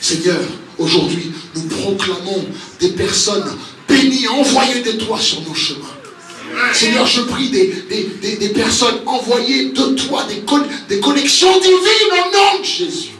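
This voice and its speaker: A man's voice: extremely high pitch, lively intonation, a little slow, music in the background. A man preaching and praying as a pastor to a congregation, speaking spontaneously and emphatically with repeated exhorting phrases. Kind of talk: spontaneous charismatic sermon with prayer. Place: large echoey room, church service. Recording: roomy, distant mic, noisy background.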